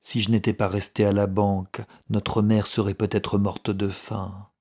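A male narrator acting a timid old clerk from a storybook. He is husky and mild, pleading and agitated, with an anxious, gentle temper. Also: lowish voice, little pitch movement, measured pace. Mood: gentle reproach. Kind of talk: audiobook character dialogue.

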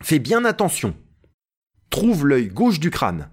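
An excitable, bossy gentleman treasure hunter from a storybook, acted out by a male reader. He calls out orders impatiently in a raised, swooping voice, agitated and imperious. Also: a little high, takes it slowly, slightly rough, voice pushed up, exaggerated voice. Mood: eager, insistent.

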